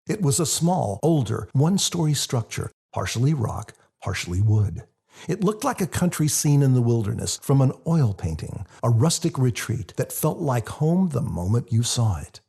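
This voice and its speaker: A man reading his own book as scripted audiobook narration, in a husky, gravelly voice. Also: medium pitch, very animated, moderate pace, warm tone.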